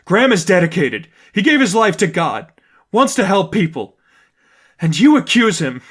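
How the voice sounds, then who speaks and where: A man's voice: high-pitched, very animated, moderate pace, a bit bright, breathy edge, voice pushed up, full character voice. A man voicing an intense, devout young vigilante woman who speaks in terse, clipped phrases, in a dramatized story reading. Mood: wounded, seething. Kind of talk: dramatized fan fiction reading.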